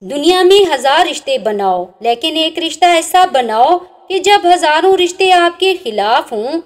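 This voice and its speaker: A woman reading inspirational religious sayings aloud in a measured recitation, with each saying ending on a falling, softer note. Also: high-pitched, animated. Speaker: narrator.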